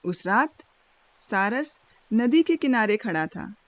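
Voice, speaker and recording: A woman's voice: on the high side, lively intonation, slow pace, no room sound. A woman storyteller reading a scripted moral fable as narration. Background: faint music.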